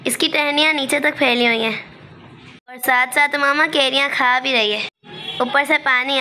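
A young woman narrates her vlog. Her voice is high and bright, and the amateur phone recording carries faint noise behind her. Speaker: vlogger.

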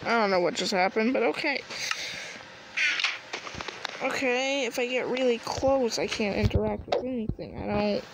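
A woman with a somewhat high voice talks her way through a game roleplay. She is casual and hesitant, often muttering to herself. The home recording, made on a handheld device, has clear noise and clicking behind her. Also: moderate pace, long pauses. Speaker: player in a game roleplay video. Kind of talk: improvised roleplay and casual gameplay commentary.

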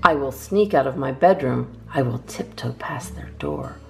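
Low-voiced woman reading children's poems aloud. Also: wildly swooping pitch, moderate pace, creaky, mellow, faint music behind. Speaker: children's storytime host and reader.

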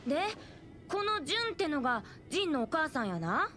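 A woman's voice: high-pitched, sing-song, pushed and bright, slight background noise, heavily acted. Brash Kansai girl fighter. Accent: Kansai Japanese. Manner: blunt, teasing, quick-tongued. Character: brash, blunt young woman fighter. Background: game score music.

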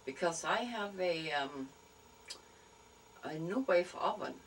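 A woman's voice: fairly low pitch, lively intonation, slow pace, slight background noise, low-quality recording. A woman hosting a casual chat with a friend at home, speaking hesitantly with frequent restarts. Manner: hesitant, restarts, soft backchannels. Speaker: host. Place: living room at home. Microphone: camera across a table.

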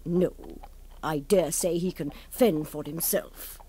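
An actress playing an imperious, unflappable aristocratic baroness in a radio drama, haughty and drily exasperated. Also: female voice, deep voice, mellow, slight background noise. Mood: drily unruffled. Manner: haughty, brisk commands, dry understatement. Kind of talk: radio drama acting and wry first-person narration.

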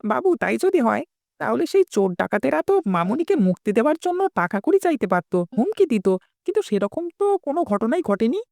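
A fretful, scolding cartoon villager, voiced by a woman, talks quickly in a high, creaky voice. The delivery swings around and sounds reproachful. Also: very animated, darkish tone, no room sound, clean studio sound, heavily acted. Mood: concerned. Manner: reproachful, fretting. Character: scolding, worried villager in a folk tale. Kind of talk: cartoon dialogue acting.

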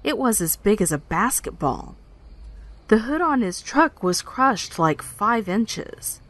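Podcast drama: self-conscious young woman ranger, middle-pitched, hesitant, nervously confiding. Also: very animated, slow pace, fairly bright tone, exaggerated voice. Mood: shaken, confiding. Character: uneasy, apologetic young female park ranger. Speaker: actor in audio drama. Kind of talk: naturalistic podcast drama dialogue, recounting.

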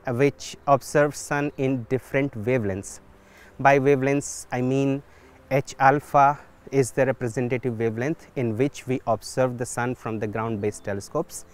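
A man, speaking in a thoughtful, measured way with pauses, recorded outdoors in a garden on a lapel mic. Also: medium pitch, faint music behind. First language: Hindi. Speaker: solar physics researcher. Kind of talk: documentary interview.

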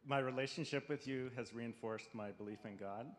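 A man's voice: mid-range voice, brisk. A young man making a tender, earnest proposal into a handheld mic on a church stage. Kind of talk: heartfelt marriage proposal. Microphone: handheld microphone. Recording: reverberant church hall.

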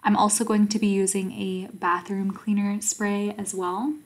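A woman in her twenties calmly narrating her routine as a voice-over for her vlog, explaining each step. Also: mid-range voice, measured pace. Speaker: vlogger.

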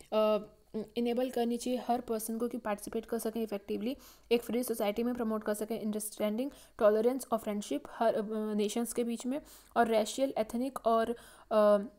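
Mellow, even-toned woman lecturing. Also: fairly high pitch. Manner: halting, frequent "uh" fillers and restarts while reading slides; mixes Hindi with English legal terms. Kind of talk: slide-based lecture explaining a legal text. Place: voice-over on slides.

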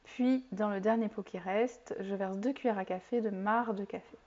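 Calm woman teaching soap making. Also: mid-range voice, moderate pace. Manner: clear, calm instructional tone with occasional fillers. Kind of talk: step-by-step tutorial explanation. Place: home kitchen, indoors. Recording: slightly dull lo-fi sound.

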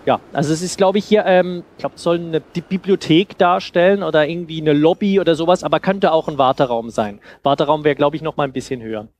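A man in his thirties with a slightly high voice gives an informal talk. He explains things in a conversational way, as a speaker at a small event would, into a headset microphone. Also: quick pace, hardly any pauses, darkish tone. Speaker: festival speaker, sound designer. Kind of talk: informal lecture with audience questions. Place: indoor festival stage with couch.

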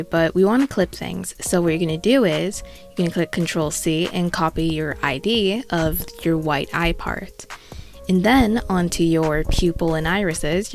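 A young woman with a stuffy, congested voice, talking casually through an art tutorial voice-over with self-mocking asides. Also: fairly low pitch. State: congested from a cold.

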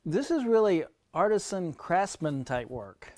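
A man's voice: high-pitched, big pitch swings, unhurried, darkish tone. Enthusiastic male show host. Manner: enthusiastic, jokey. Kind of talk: casual travel show chat. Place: woodworking shop, indoors. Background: background music.

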